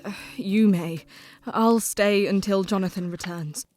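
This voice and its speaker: An actress plays a worn-out young Victorian woman in an audio drama, clipped and a little short-tempered. Her words come slowly and wearily. Faint music sits underneath. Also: mid-range voice, darkish tone, natural voice. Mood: weary.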